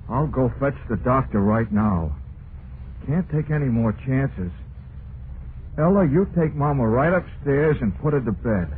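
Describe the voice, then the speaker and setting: An actor playing an anxious, doting grown son, earnest and solicitous, in an old-time radio drama. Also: male voice, medium pitch, natural voice. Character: anxious, doting grown son fussing over his mother. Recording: noisy narrow-band vintage radio recording.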